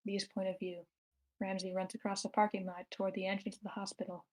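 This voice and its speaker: A woman's voice: mid-range voice, level tone, quick pace, poor audio. A woman reading stage directions as the narrator of a screenplay table read. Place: online meeting, own room.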